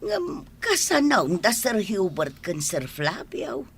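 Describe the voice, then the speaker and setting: A woman's voice: medium pitch, huge pitch swings, slow pace, gravelly, husky, full character voice. A woman playing an older member of a household in a Filipino radio drama. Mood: worried.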